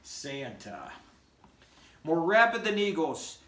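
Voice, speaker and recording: Male educator, middle-aged, slightly high-pitched, reading to kids with expression; recorded in a very reverberant room. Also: slow. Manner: expressive, addressing children directly. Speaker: school educator reading aloud. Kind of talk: children's picture-book read-aloud. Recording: roomy, echoing home recording.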